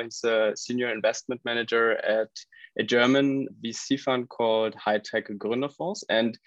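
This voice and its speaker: A man's voice: mid-range voice, a bit bright, no room sound, muffled recording. A man hosting a podcast, interviewing guests in a conversational style. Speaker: podcast host.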